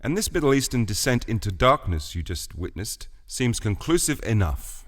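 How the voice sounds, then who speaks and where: A commanding alien president, acted by a man in a radio drama. His voice is mid-pitched and very animated in its rise and fall, with a stern, decisive, oratorical delivery. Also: normal speed. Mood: stern, imposing. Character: commanding alien male president, stern creator passing judgement. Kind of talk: radio play acting.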